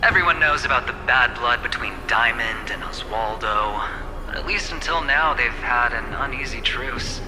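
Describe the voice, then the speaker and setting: An actor playing a smooth, scheming young male corporate negotiator in a video game, teasing and slipping into soft asides. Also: medium pitch, expressive, normal speed, close to an everyday voice. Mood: discreet. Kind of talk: game cutscene voice acting. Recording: reverb with game music behind.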